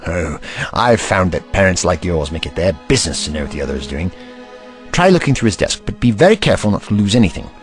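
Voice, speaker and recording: An actor playing an eccentric, kindly and curious investigator in an audio drama, pensive and courtly in manner. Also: male voice, low-pitched, big pitch swings, quick pace, soft background music. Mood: brisk, kindly.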